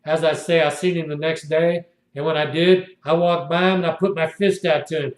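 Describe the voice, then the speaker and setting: Man in his sixties, high-pitched voice, indignant rambling monologue, echoey room. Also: little pitch movement, a little fast. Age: 60s. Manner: indignant, repetitive storytelling. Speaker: video blogger. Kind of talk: rambling first-person monologue.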